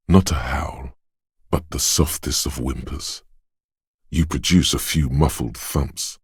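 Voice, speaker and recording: A man narrating a video game as a grave inner voice of the senses, measured, deliberate and ominous. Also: extremely low pitch, breathy edge, no room sound, clean studio sound, heavily acted. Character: solemn male inner voice of heightened senses, ominous and watchful. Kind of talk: atmospheric second-person scripted narration.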